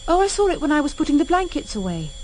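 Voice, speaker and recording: A woman's voice: sits high, big pitch swings, fast talker, a little mellow. An actress playing a naive, earnest and mischievous woman in a British radio drama. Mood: eager, sly. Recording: noisy vintage radio recording.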